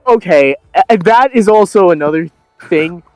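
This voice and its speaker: High-pitched young American man, slangy banter. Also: lively intonation, moderate pace, a little mellow, no echo, low-quality recording. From Florida, United States. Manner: profane, slangy, repeats phrases for emphasis. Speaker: game streamer in a group call. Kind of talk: casual animated banter among friends during a game stream. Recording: music behind the voice. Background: music.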